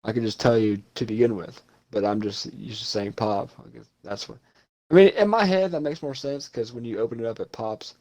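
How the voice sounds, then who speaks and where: A man's voice: mid-range voice, brisk, warm tone. A young man from Ohio chatting casually on a podcast, rambling and swearing freely. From Ohio, USA. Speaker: podcast co-host. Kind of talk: casual chat.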